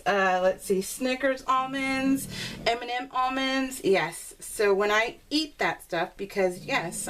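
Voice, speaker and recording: A woman chatting casually and rambling as a craft video host, giggly and self-deprecating, telling stories with animated exclamations. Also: mid-range voice. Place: at home, voice recorded live while crafting. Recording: noisy home recording with clicks.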